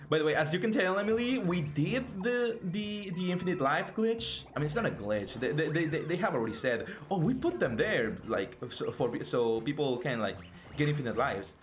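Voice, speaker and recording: A man's voice: fairly high pitch, sing-song, moderate pace, soft background music. Playful Mexican-accented man streaming a game. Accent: Mexican Spanish. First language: Spanish. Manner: playful, jokey, frequent laughter and stammering restarts. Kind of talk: casual live-stream commentary.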